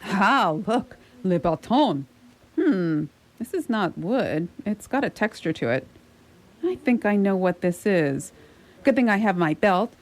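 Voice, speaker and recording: An actor playing a clumsy middle-aged would-be superhero, muttering wryly in a radio comedy. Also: male voice, high-pitched, very animated, a little slow. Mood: curious, pleased. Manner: wry, muttering, groaning self-talk. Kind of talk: live radio comedy drama acting.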